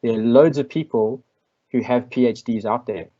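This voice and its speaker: A man from South Africa with a South African accent, answering questions in an online masterclass in a measured, reflective way with frequent ums and restarts. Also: mid-range voice, dark tone, dull audio. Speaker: invited speaker.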